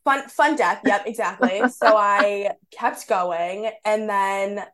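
A woman's voice: medium pitch, slow, over a noisy crowd. A young woman co-hosting a podcast, chatting casually in a bubbly, giggly way and saying "like" a lot. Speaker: podcast co-host. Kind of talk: casual chat.

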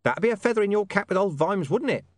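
A man's voice: high-pitched, expressive, fast, no echo, studio quality, pitched above the performer's own voice. A man voicing a shady, streetwise talking dog who poses as a mysterious informant in an audiobook story. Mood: wheedling, evasive. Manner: streetwise, evasive, menacing slang.

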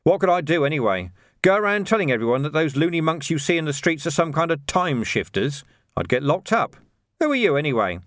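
A man's voice: a little high, big pitch swings, brisk, fairly bright tone, no room sound, clean studio sound, voice pushed up. A man voicing an irritable, weary watch commander in an audiobook, blunt and exasperated. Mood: bitter. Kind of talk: audiobook character voice.

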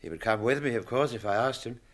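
A man's voice: mid-range voice, animated, quick pace, close to an everyday voice. A British man playing a fussy, testy old hobbit in a radio drama, chatty and prickly in manner. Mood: wistful.

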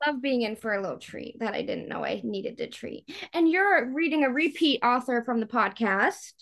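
Chatty woman with a higher-pitched voice. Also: lively intonation, normal speed, a bit bright. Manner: chatty, slangy, informal. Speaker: podcast co-host. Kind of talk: casual book chat.

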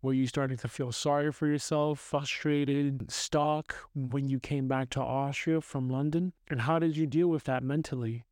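A man's voice: mid-range voice, fairly even intonation, moderate pace, hardly any pauses, darkish tone, no echo, clean studio sound. A man co-hosting a podcast, asking his partner long, probing, rambling questions. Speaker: podcast co-host. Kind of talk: casual podcast conversation.

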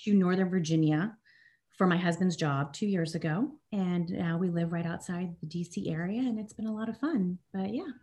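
Native Italian speaker, woman in her 30s, lowish voice, animated casual guest. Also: brisk, hardly any pauses. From Germany and Italy. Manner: chatty, animated, self-deprecating, repeats words when restarting. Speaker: podcast guest. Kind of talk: casual interview chat.

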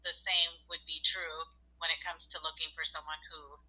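A middle-aged mother chatting on her daughter's podcast in a playful, self-aware way. Her voice sits a little low and sounds very bright. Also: level tone, normal speed, low-quality recording. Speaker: host's mother, guest. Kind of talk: casual family chat.

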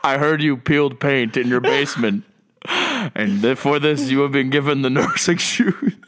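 Man plays God scolding a boy; mock-solemn pronouncements with animated pitch. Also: normal speed.

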